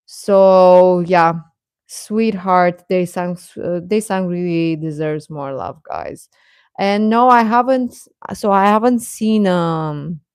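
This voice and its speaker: A woman with a slightly low voice hosts a casual livestream. She talks slowly, with a hesitant, wandering delivery that stops and restarts as she addresses her viewers. The sound is close and dry, with little room around it. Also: creaky, no echo. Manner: hesitant, rambling, stop-and-start delivery. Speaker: livestream host.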